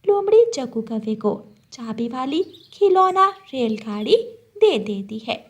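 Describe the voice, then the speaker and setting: A woman's voice: on the high side, very animated, a little slow. A woman narrator reading a scripted moral story for children.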